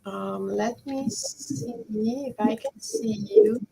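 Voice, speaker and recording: A woman, talking to a group of kids over a video call. Her voice sits a little high. She keeps checking in with them and encouraging questions as she explains chemistry. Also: sing-song, slow pace, creaky, warm tone, husky, rough recording. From Hungary. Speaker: professor teaching a kids' chemistry camp. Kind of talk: friendly talk to children over a video call.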